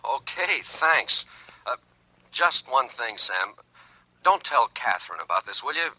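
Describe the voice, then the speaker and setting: An actor playing a naive, eager young clerk, earnest and stammering, in a 1940s radio drama. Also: male voice, mid-range voice, sing-song, unhurried, sharp tone, heavily acted, rough recording. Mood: sheepish, grateful. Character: eager, naive young male clerk, hot-headed but apologetic.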